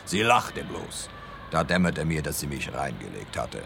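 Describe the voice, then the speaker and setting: An actor playing a flustered, bitter man cornered as a culprit in a radio play, speaking defensively and haltingly. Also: extremely low pitch, sing-song, creaky, background noise, heavily acted. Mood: bitter confession. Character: burgled man exposed as killer, flustered and bitter. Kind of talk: German-language radio play acting.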